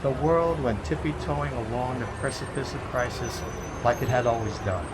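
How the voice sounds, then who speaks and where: A man playing a reflective radio drama narrator who looks back with regret in a measured, deliberate delivery. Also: mid-range voice, natural voice. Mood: rueful, reflective. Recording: clearly noisy recording.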